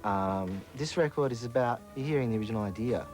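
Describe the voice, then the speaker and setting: A man's voice: medium pitch, quick pace, over music. A man talking informally in a documentary interview about his work.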